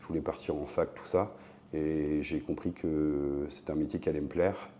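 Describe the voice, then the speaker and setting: A man's voice: extremely low pitch, fairly even intonation, normal speed, dark tone, slight background noise. A man from Le Mans in France, an optician and shop owner, talking casually as an interview guest and explaining himself conversationally.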